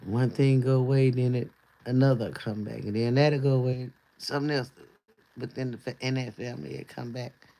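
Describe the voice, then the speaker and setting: A man casually chatting on a livestream in a loose, rambling way, his voice going hoarse. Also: medium pitch, flat delivery, normal speed, warm tone, poor audio. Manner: loose, rambling talk with easy laughs. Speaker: livestream host.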